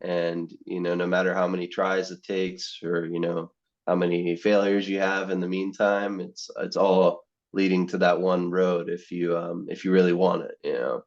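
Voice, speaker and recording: A young man with a very low voice talks in a near-monotone on a podcast, looping through "you know" and false starts as he answers. The audio is a little muffled and lo-fi. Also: normal speed. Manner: rambling, full of "you know" and restarts. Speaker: guest, medical student. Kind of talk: casual podcast interview.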